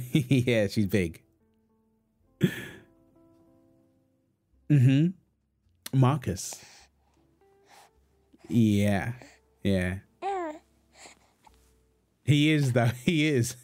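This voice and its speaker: A man playing a calm, teasing, reassuring young husband and father in a natural, intimate audio roleplay, talking gently to his partner and baby. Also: mid-range voice. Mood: friendly, amused.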